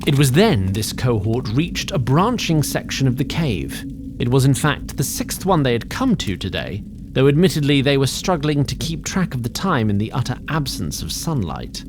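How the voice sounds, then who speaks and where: A man's voice: medium pitch, very animated, moderate pace, background noise. A man narrating a scripted fantasy audio drama in a formal, literary, wry manner.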